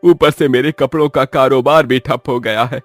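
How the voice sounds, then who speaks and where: A man's voice: mid-range voice, fairly even intonation, moderate pace, faint music behind, close to an everyday voice. A man doing a troubled widowed father. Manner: earnest, troubled, speaking firmly. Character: worried widowed father, cloth trader, middle-aged.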